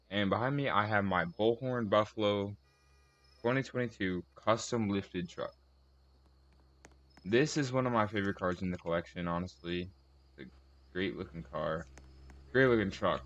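A man giving casual video commentary as host of a car tour, speaking in halting short phrases and correcting himself. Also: on the low side, fairly bright tone, dull audio.

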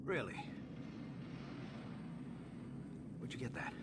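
An actor playing a wary, determined cop on the run, terse and dry, with an American accent. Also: male voice, racing, heavy background noise, natural voice. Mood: wary, hushed. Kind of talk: naturalistic video game acting.

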